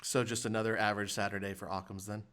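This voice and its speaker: A man hosting a podcast in casual comedic chat, putting questions to his guests in a deadpan way. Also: fairly low pitch, fast talker. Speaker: podcast host.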